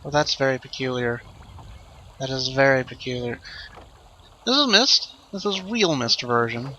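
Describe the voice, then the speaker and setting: A man hosting a let's play with casual, improvised commentary, rambling and thinking out loud as he goes. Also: mid-range voice, animated, unhurried, dull audio, slight background noise.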